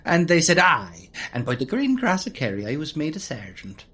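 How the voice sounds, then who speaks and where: A woman's voice: deep voice, wildly swooping pitch, moderate pace, a bit bright, husky, heavily acted. A woman playing a folksy, easygoing, dim police sergeant with an Irish accent and a lilting manner in a comic audio drama. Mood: folksy, boastful.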